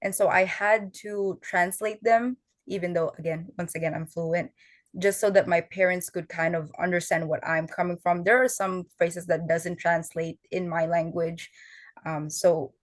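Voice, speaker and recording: Young woman, low voice, Tagalog native. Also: fairly even intonation, moderate pace, no echo. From Filipino family. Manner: earnest, explains step by step with fillers. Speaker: oral history fellow. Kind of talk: conversational interview answers.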